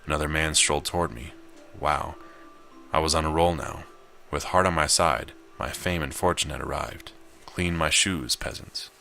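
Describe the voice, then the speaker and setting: Male gamer-narrator, extremely low bright voice, reads story text and comments casually, faint music behind. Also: fairly even intonation, moderate pace, gravelly. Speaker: video game player and narrator. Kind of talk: visual novel read-aloud with casual gameplay commentary.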